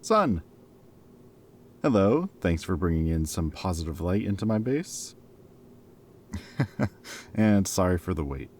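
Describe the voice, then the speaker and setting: A man's voice: sits low, a little mellow, a little hiss or hum, exaggerated voice. A man playing a former villain in an audio roleplay, a playful, loyal friend who talks in a casual, wry, bantering and hesitant way, as if in conversation. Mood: upbeat, teasing.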